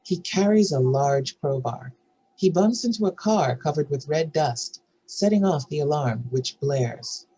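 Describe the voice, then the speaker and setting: A woman's voice: low voice, animated, a little slow, dark tone, breathy edge, muffled recording. A woman reading stage directions as scripted narration for a drama table read.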